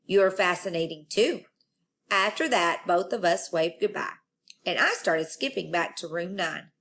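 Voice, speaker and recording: A woman storyteller reading a children's book aloud in an expressive style. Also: fairly low pitch, measured pace, vocal fry.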